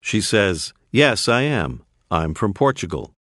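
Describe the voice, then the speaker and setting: A man narrating a scripted language course, clearly prompting the listener to repeat phrases. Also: fairly low pitch, sing-song, takes it slowly, fairly bright tone, no echo. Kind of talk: scripted audio lesson instructions. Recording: dry studio voice-over.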